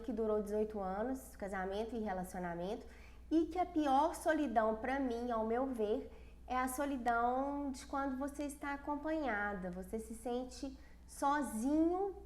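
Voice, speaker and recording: A woman's voice: high voice, darkish tone. Congested Brazilian woman coach, echoey room. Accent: Brazilian Portuguese. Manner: earnest, personal, with frequent restarts. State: congested from a cold. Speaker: self-esteem coach. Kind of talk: casual livestream talk.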